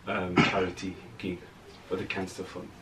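Male guest, younger adult, lowish voice, conversational, echoey room with faint noise. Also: completely flat delivery, normal speed, far from the mic. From Jamaica. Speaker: band frontman, interview guest. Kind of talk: casual interview chat. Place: small room, indoors.

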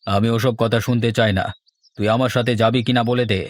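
A man's voice: medium pitch, fairly even intonation, normal speed, lightly acted. A man voicing a stubborn old village headman in a horror cartoon. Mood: sceptical, stubborn.

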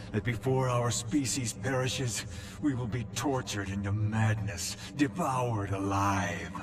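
A male actor playing a grave, solemn narrator in a horror game, speaking in measured, portentous phrases. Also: fairly low pitch. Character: grave male narrator warning of ancient evil. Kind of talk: solemn scripted cinematic narration. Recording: reverberant voice over loud music.

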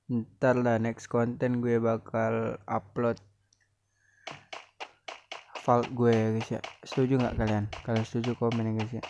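A young man talks to his viewers in an unhurried, drawn-out way, his voice hoarse from a lingering cough. He keeps calling out to the audience in loose, slangy chatter. The recording carries clear background noise. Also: medium pitch, monotone, darkish tone. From Indonesia. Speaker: gaming YouTuber. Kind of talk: casual game commentary.

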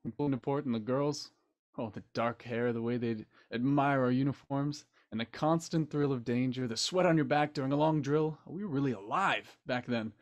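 A man's voice: medium pitch, brisk, lightly acted. Male actor as Victorian doctor and veteran for radio mystery: mid-range voice, nostalgic, old-fashioned turns of phrase. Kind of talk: radio play acting over video call.

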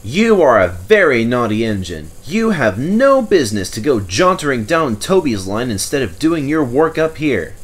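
A man's voice: medium pitch, big pitch swings, normal speed, few pauses, husky, pitched above the performer's own voice. A man voicing a stern male manager in a storybook, severe and emphatic as he scolds. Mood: stern, scolding.

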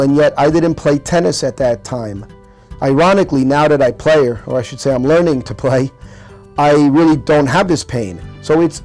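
A middle-aged man, an orthopedic hand surgeon, calmly explaining a medical procedure to a patient in a clinic office, with fillers and small restarts. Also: on the high side. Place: clinic office, seated at a desk. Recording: soft music underneath.

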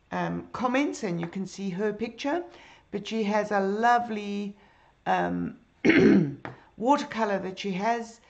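A middle-aged woman teaches a drawing lesson over a live stream from her home. Her voice is hoarse and gravelly, with a lot of fry. She talks slowly and chattily, fills her pauses with ums and greets her viewers as they arrive. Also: mid-range voice, highs cut off. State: hoarse, croaky. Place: home room with bookshelves. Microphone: computer webcam.

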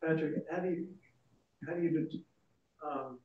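Higher-voiced man, distant in echoey room. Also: normal speed. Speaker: meeting attendee asking questions. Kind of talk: informal questioning. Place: large indoor meeting room. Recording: muffled, echoey, noisy room, distant mic.

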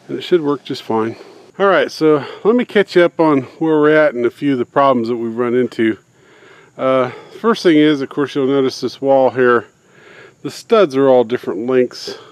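A man's voice: mid-range voice, a little mellow, no room sound. Plain-spoken man filming his backyard build. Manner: rambling, plain-spoken, lots of uh fillers. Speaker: DIY builder explaining his project. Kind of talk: casual how-to vlog narration. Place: outdoors in a backyard garden.

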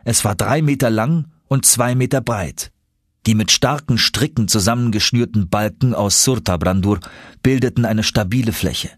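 A man narrates an audiobook in a low, husky voice. His storytelling is expressive and dramatic. The sound is dry, with no room around it. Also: moderate pace, no room sound. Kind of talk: scripted first-person story narration.

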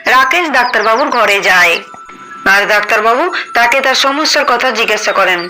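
A woman reading scripted narration for a cartoon story, with loud noise behind her. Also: on the high side, expressive, moderate pace.

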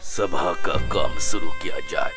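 Deep, slow cartoon king. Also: over loud music. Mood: commanding. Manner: measured, commanding, formal. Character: stately male king presiding over royal court. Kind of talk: Hindi cartoon dubbing.

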